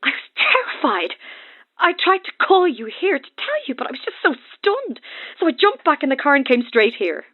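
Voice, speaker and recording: Over a thin phone line a frightened woman calling a late-night radio show. Her voice is high and very animated, shaken and halting, in a horror audio drama. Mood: terrified, defensive. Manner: shaken, breathless storytelling in broken phrases. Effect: telephone filter.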